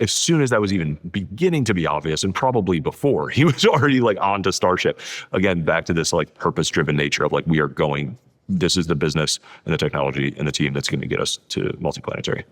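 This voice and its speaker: A man with a distinctly low voice speaks as a guest author. He explains his ideas casually, in loose conversational phrases. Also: lively intonation, quick pace.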